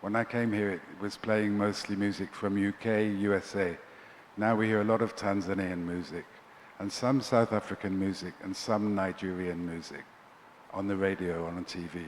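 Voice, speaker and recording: A man's voice: low voice, fairly even intonation, normal speed. A man who organizes a festival, speaking as a panelist in an earnest, persuasive way. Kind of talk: panel discussion. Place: large conference hall. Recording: long hall reverb, faint background noise.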